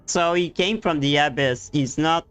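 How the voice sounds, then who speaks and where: A man's voice: a little high, measured pace, fairly bright tone. A man giving casual reaction commentary over a game, musing aloud in short, puzzled remarks. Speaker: gaming streamer reacting to story.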